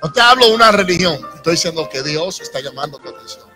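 Dominican pastor preaching to his church, kinda high voice, quiet music under it. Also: expressive, quick pace. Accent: Dominican Spanish. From Dominican Republic. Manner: preaching with rising emphasis and audience asides. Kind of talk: sermon. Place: church sanctuary with congregation. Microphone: handheld microphone. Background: soft instrumental music.

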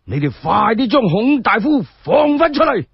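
A man voicing a defiant warrior over sixty, calling out loud, declamatory challenges. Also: very high voice, sing-song, gravelly, slightly rough, no echo, highs cut off, voice pushed up, exaggerated voice. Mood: fierce, defiant. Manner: loud, declamatory, drawn-out shouting. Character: fierce, defiant old warrior shouting challenges.

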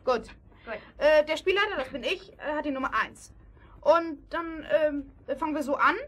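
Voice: high-pitched, moderate pace, a bit bright. A performer playing a bossy, cheerful child who leads party games in a children's audio play, eagerly giving instructions. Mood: explaining.

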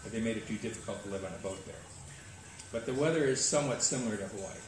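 A man's voice: lowish voice, fast talker. An older man organizing cruising services, answering interview questions in an easygoing, matter-of-fact way on a tropical veranda. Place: open-air veranda, tropical. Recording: echoey, distant, noisy outdoor veranda.

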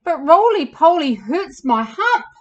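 A woman's voice: sits high, very animated, takes it slowly, highs cut off, exaggerated voice. A woman voicing an earnest, insecure male camel with big plans in a children's storybook, plaintive and eager.

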